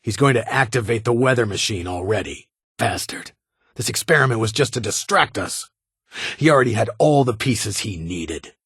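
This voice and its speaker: An actor playing a stern, weary security chief in a video game, with a husky voice and a formal, clipped, authoritative manner. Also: male voice, on the low side, lively intonation, moderate pace, a bit bright, no room sound, clean studio sound, heavily acted. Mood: urgent, commanding. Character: stern, weary male vault security chief, guarded but honorable.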